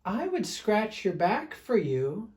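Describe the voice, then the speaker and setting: A man's voice: sits high, huge pitch swings, slow, a little mellow, pitched above the performer's own voice, heavily acted. A man voicing a big fluffy female bear from a storybook, bossy but friendly, speaking insistently and emphatically. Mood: helpful, chiding. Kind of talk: storybook read-aloud character voice.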